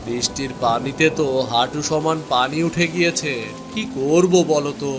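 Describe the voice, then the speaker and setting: A man's voice: a little high, moderate pace, music in the background, close to an everyday voice. A man voicing a poor, humble village father who sounds anxious and plaintive in a cartoon story. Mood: worried. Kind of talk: Bengali cartoon story voice acting.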